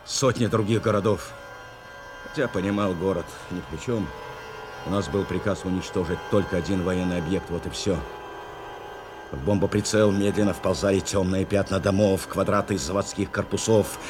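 An actor playing a grave, intense bomber crewman who recalls a wartime raid in measured, dramatic radio-play narration. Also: low-pitched, fairly even intonation, close to an everyday voice. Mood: grim, bitter. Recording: 1970s radio drama with loud music bed.

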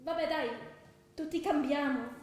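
High-voiced concerned friend, echoey. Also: female voice, unhurried, darkish tone. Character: concerned young woman, frank old friend. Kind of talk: colloquial radio drama acting.

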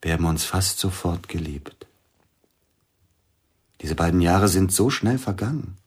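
A man playing a grieving widowed concert pianist in a radio play, speaking in a subdued, husky voice. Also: low-pitched, expressive, measured pace, heavily acted. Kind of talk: radio drama acting.

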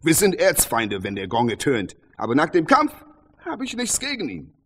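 A man's voice: fairly high pitch, wildly swooping pitch, moderate pace, slightly rough, heavily acted. A man playing a cocky, taunting champion boxer in a radio play, boastful and theatrical, a playful showman. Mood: defiant, measured. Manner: boastful, theatrical, swinging between taunts and soft sincerity. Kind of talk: radio play acting, boastful press-conference banter.

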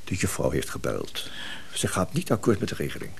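A fussy, deferential notary in a radio play. His pitch swings widely as he hesitates and repeats himself with formal courtesy, over faint background noise. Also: wildly swooping pitch, a little fast, gravelly, heavily acted. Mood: discreet, insistent. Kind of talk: Dutch radio play acting.

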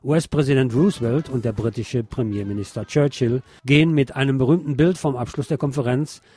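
A man's voice: mid-range voice, brisk, warm tone, breathy edge, no room sound. A man narrating a scripted documentary.

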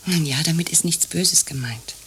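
An actress playing a capricious, commanding woman in radio play dialogue, husky and breathy, with a curt, evasive way of talking. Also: sits low, moderate pace, soft background music, lightly acted. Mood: placating, evasive. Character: capricious, commanding woman in an absurd couple sketch.

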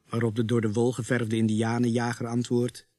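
A man playing a sombre police sergeant who narrates a radio play in a grave, deliberate, husky voice. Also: mid-range voice, monotone, darkish tone, no room sound, studio quality, lightly acted. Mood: grave, haunted. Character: sombre male police sergeant recounting a grisly night.

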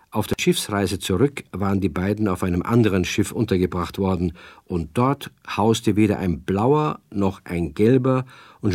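A man's voice: on the low side, a little mellow, no room sound, studio quality. A man narrating a children's radio play as a genial storyteller.

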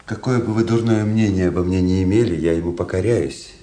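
An actor playing a cold, proud young Russian officer in a radio drama, speaking in a measured, formal, restrained way. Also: male voice, deep voice, mellow, slight background noise, close to an everyday voice. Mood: cold, resolute.

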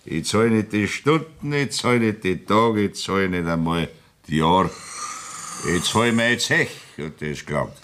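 Rowdy bavarian farmer, tavern carouser, boastful, slow drawl, low voice. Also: male voice, animated, a bit bright. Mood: boisterous. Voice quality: boisterous, coaxing, boastful. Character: boisterous rich Bavarian farmer, hard-drinking carouser. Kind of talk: 1960s radio play acting.

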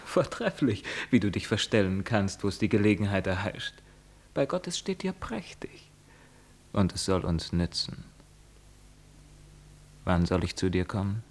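A man's voice: fairly low pitch, animated, unhurried, long pauses, darkish tone. A man playing a young lover who answers coolly and sarcastically in verse. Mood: mocking. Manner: sarcastic, dismissive verse speaking. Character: young male lover, cool, mocking.

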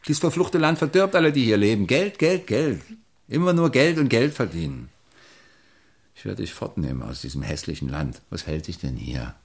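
Deep-voiced, coaxing bohemian lover. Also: male voice, moderate pace, breathy edge, heavily acted. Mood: indignant. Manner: coaxing, patronizing, theatrically expressive. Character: carefree, charming, idle male writer, patronizing lover. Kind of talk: German radio drama acting.

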